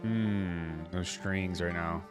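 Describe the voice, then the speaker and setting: A man's voice: sits low, steady tone, very slow, over music. A man giving casual, informal reaction commentary as a video host, musing aloud as he goes.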